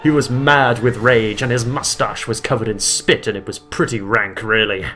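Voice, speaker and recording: Man reading fan fiction aloud, bright voice. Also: lowish voice, moderate pace, faint music behind. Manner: reads deadpan, breaks into laughter, voices quotes loudly. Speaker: reader of a bad fan fiction. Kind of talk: comedic dramatic reading.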